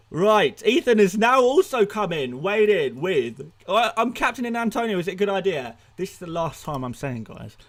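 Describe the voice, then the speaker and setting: Man, casual streamer, fairly high voice, chatty, self-mocking, lots of restarts. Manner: upbeat and chatty, laughs at himself, many restarts. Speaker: fantasy football streamer. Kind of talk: casual live-stream chat reading comments.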